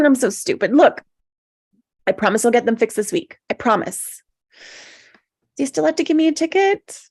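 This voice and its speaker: A woman's voice: high-pitched, very animated, moderate pace, long pauses, a little mellow, voice pushed up. A woman reading the part of a flustered, self-deprecating woman in a live table read, natural and apologetic. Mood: flustered, pleading.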